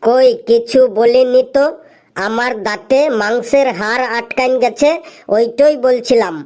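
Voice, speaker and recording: A woman's voice: on the high side, fairly even intonation, measured pace, a little mellow, a little hiss or hum, lightly acted. A woman voicing a cheeky, dim-witted schoolboy in a comedy cartoon with an earnest delivery. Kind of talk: exaggerated comic cartoon dubbing.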